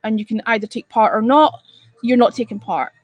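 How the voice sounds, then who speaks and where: A woman's voice: a little high, brisk, no room sound, highs cut off. A woman from Edinburgh with a Scottish accent, hosting a casual live stream and chatting bluntly and exasperatedly with viewers, swearing freely. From Scotland. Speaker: livestream host.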